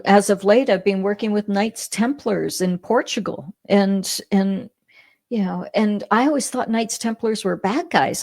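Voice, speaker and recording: Canadian lady guest telling her story, laughs a lot, fry in her voice, medium pitch. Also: no room sound, studio quality. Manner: animated, laughs often, many restarts. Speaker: podcast guest. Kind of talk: casual interview storytelling.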